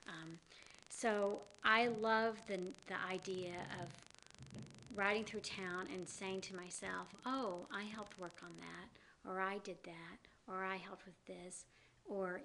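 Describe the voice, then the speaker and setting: Bright-voiced woman with a Southern accent. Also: on the low side, a little hiss or hum, low-quality recording. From American South. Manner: many ums and you-knows, earnest. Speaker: executive director of a downtown organization. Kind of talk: interview guest.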